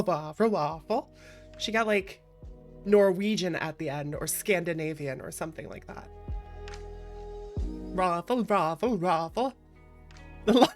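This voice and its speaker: A woman livestreamer chatting casually, giggly and expressive, with playful exasperation. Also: mid-range voice. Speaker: livestreamer, VTuber host.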